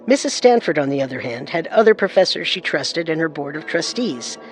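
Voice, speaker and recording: A woman narrating a scripted true-crime story as co-host, composed and deliberate. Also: low voice, very animated, faint music behind, poor audio.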